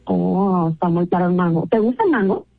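A woman's voice: lowish voice, a little fast, vocal fry, phone-quality sound, soft background music. A woman in a casual phone chat, talking politely and patiently with an amused manner. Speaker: phone participant in a radio prank.

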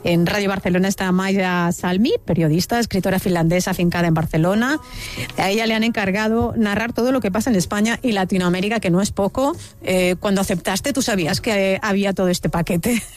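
A woman's voice: medium pitch, fairly quick. Spanish woman hosting talk radio. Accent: Spain. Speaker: radio host. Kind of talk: live radio talk show.

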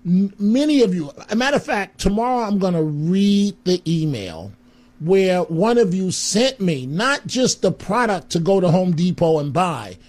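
A man's voice: high-pitched, big pitch swings, normal speed, a little mellow. A man hosting a call-in radio show, friendly and exclamatory, with rambling asides. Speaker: radio talk show host.

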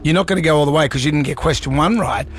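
A man's voice: a little high, very fast, a little hiss or hum. A middle-aged man hosting drive-time radio, chatty and jokey, laughing often. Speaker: radio host.

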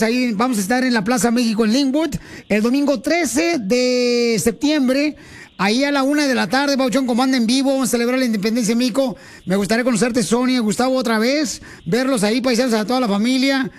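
A man hosting a radio show with a Mexican Spanish accent, bantering and teasing his callers. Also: extremely high pitch. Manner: joking, teasing, fast talk.

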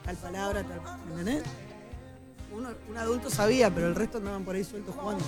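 A man's voice: sits high, quick pace, loud music behind the voice. A man with a Rioplatense Spanish accent on a radio panel, chatting and checking in with the others as he talks. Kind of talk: casual studio chat.

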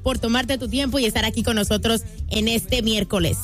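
A woman's voice: a little high, fairly even intonation, a little fast, soft background music. A woman radio DJ, hosting a live interview in an effusive, upbeat way. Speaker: radio DJ host. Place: radio studio.

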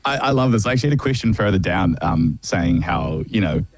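A man interviewing a young band, full of praise and pausing often with fillers. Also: fairly low pitch, animated, no room sound. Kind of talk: casual interview.

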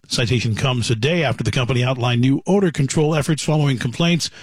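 A man reading a radio news bulletin in a crisp, formal delivery. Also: medium pitch, fast, dry recording. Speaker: news reader.

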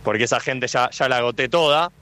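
A man with an Argentine accent doing live street interviews for radio outside a stadium, chatty and quick with follow-up questions. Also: mid-range voice, pushed and bright. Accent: Argentine Spanish. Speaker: field reporter.

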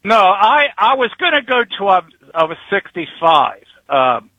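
A man's voice: high voice, big pitch swings, unhurried, dry recording, highs cut off. A man in his early sixties, a retired truck driver calling in, talking in a rambling, folksy way and chuckling between thoughts. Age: 60s. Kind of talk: casual phone-in chat.

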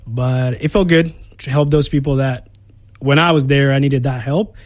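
A man's voice: medium pitch, fairly even intonation, normal speed, no echo. Chatty Puerto Rican man in a dry studio. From Puerto Rico. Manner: rambling, many fillers and restarts. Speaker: Air Force recruiter. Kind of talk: casual radio interview. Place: radio studio.

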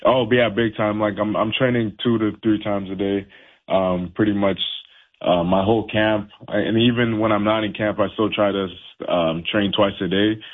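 Young boxer on a tinny phone line. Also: male voice, lowish voice, fairly even intonation, normal speed. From Haiti. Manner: laid-back, many fillers and restarts. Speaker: professional boxer, guest. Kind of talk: casual interview.

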